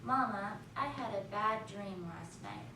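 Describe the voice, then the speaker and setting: A woman's voice: mid-range voice, measured pace, natural voice. A woman voicing a stubborn, indignant first-grade girl in a storybook read-aloud. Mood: plaintive. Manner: stubborn, defiant. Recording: distant, echoey, noisy room recording.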